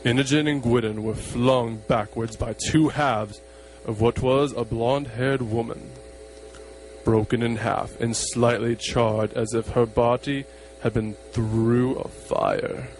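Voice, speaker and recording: A man delivers dramatic horror narration for a radio play. His pace is very measured and his manner is solemn and portentous, at an ordinary middle pitch, with faint noise behind the recording. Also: fairly even intonation, darkish tone, low-quality recording.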